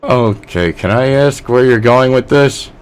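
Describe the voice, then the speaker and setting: A man's voice: mid-range voice, a little slow, clear, resonant tone. A man hosting a call-in radio show, asking the caller direct questions. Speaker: radio host.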